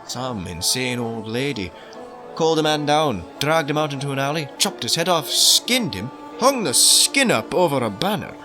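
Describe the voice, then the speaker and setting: An affable, folksy deacon of a fantasy church, acted out by a man at a game table, chatting smoothly with his flock and casually menacing underneath. The voice is on the low side, with music clearly playing behind it. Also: very animated, moderate pace, a crowd in the background, close to an everyday voice. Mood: grim relish.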